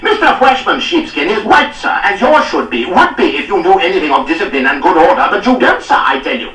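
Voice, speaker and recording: A man's voice: high voice, very animated, brisk, echoey room, far from the mic, pitched above the performer's own voice, heavily acted, rough recording. A male narrator voicing a furious, blustering aristocratic cavalry lord who bawls, sneers and bursts into braying laughs. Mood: sneering. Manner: shouting, sneering, hectoring. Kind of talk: audiobook character voice.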